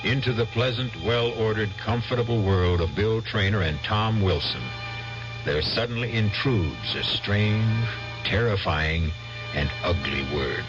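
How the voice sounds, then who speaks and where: A man hosting a radio mystery show, narrating in an ominous, deliberate way. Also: fairly low pitch, over music. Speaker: host narrator. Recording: dull vintage radio broadcast.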